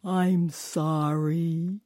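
A woman voicing a big, gentle, happy male elephant in a storybook tale, speaking slowly and kindly. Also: on the low side, level tone, dry recording, studio quality, pitched below the performer's own voice. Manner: slow, kindly and unhurried.

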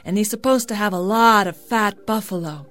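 A woman storyteller voicing a greedy, envious, excitable male villager in a folktale, calling out with emphatic, drawn-out words. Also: high-pitched, faint music behind. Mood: eager, greedy.

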